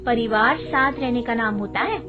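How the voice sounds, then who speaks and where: A woman's voice: on the high side, normal speed, voice pushed up, close to an everyday voice. A woman voicing an earnest, persuasive peacemaking daughter-in-law in a cartoon story. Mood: earnest appeal. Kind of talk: cartoon moral-story acting. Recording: thin phone-like band, loud music.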